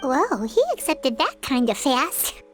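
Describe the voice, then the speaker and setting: An extremely high, squeaky childlike game fairy. She is chirpy, eager and boastful, with a lively swooping delivery. Music plays behind her. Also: slow, exaggerated voice. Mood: surprised. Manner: exclaiming, eager. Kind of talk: video game voice acting.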